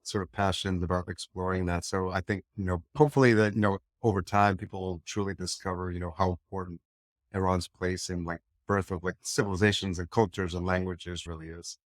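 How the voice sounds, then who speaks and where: A man's voice: deep voice, fairly even intonation, fairly quick, dry recording. A man hosting a podcast interview, curious and chatty, with many filler words. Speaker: podcast host.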